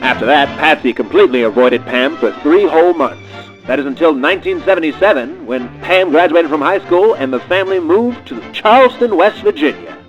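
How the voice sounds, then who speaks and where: Man as radio play narrator, scripted, dry, dramatic, animated intonation, faint music behind. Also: fairly high pitch, brisk, hardly any pauses, poor audio. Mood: mock solemn. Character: dry, dramatic storybook narrator of a mock biography.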